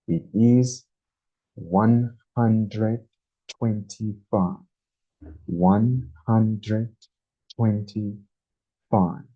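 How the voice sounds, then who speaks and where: A man in his twenties teaching a beginner English lesson, speaking very slowly and deliberately and repeating each number. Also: fairly low pitch, breathy edge. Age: 20s. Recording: dull lo-fi recording.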